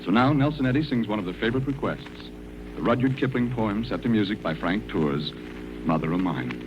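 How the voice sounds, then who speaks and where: A man's voice: fairly low pitch, lively intonation, a little fast, very noisy. An American man announcing a scripted 1940s radio show. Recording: dull 1940s radio broadcast recording. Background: music.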